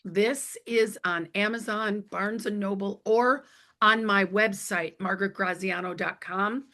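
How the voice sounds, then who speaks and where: A woman with a hoarse voice and a middle-range pitch talks as a guest expert in an interview. She explains things in plain, emphatic language and conversational phrasing. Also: fairly even intonation, a little slow, sharp tone. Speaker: interview guest, author and executive coach.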